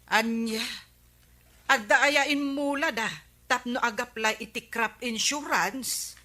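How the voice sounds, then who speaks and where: A woman's voice: fairly high pitch, slow pace, sharp tone. A woman with an Ilocano Filipino accent playing a curious, sympathetic village friend in a radio comedy drama. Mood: curious, concerned.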